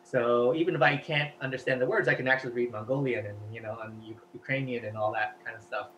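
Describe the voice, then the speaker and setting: A man's voice: medium pitch, fairly even intonation, fast, highs cut off, a little hiss or hum. A man whose first language is Cantonese, chatting as an interview guest and explaining at length with many fillers. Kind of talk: casual interview talk.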